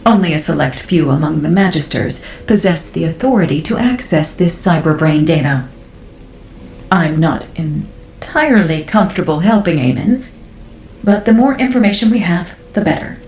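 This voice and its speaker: A woman playing a curious, analytical scientist in a video game, with deliberate, thoughtful delivery. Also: low-pitched, animated, darkish tone. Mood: measured explaining. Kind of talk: video game dialogue acting. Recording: roomy game audio, faint noise.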